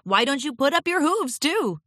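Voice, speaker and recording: A woman acts out a composed, friendly young pony from a children's storybook. She speaks in a high, animated voice with polite concern and encouragement. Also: normal speed, no room sound, clean studio sound. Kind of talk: children's audiobook character voice.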